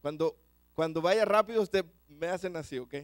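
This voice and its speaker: A man with a Chilean accent preaching a sermon to a church congregation, talking quickly and often repeating words as he goes. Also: sits high, smooth, no room sound. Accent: Chilean Spanish. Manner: fast-talking, repeats words when rushing.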